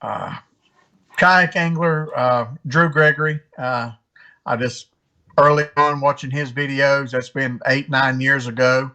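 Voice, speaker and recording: Bright-voiced man chatting as a guest. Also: medium pitch, fairly even intonation, a little slow. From West Virginia, United States. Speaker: interview guest, amateur tournament angler. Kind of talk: casual interview chat.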